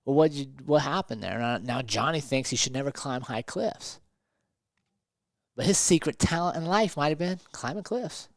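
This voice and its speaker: A man's voice: a little high, lively intonation, moderate pace, no room sound, studio quality. A man talking conversationally as a motivational podcast host and coach, emphatic and fond of rhetorical questions.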